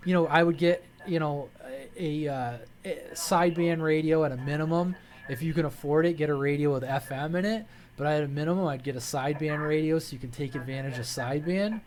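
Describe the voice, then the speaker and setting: A man hosting a hobby video, talking informally and haltingly to viewers in a folksy way. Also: on the high side. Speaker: hobby radio channel host. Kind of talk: casual vlog talk to viewers.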